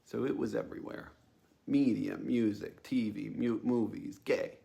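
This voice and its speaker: A young man telling a personal story informally, in a halting, hesitant way with frequent ums and a wry, self-aware tone. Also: medium pitch, steady tone, vocal fry, warm tone, lo-fi sound.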